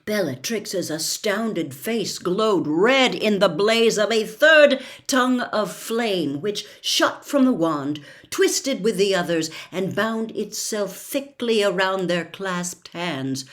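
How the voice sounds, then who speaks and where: Expressive woman storyteller with swooping intonation. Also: mid-range voice, slow pace, hardly any pauses. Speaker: storyteller reading a novel aloud. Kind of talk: expressive audiobook-style storytelling.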